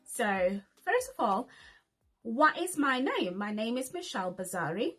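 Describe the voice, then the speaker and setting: A woman's voice: a little high, very animated, measured pace, fairly bright tone, husky, faint music behind. A woman, chatting casually in a get-to-know-me vlog as she reads each question aloud and answers it. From Zimbabwe. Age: 30s. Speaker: vlogger.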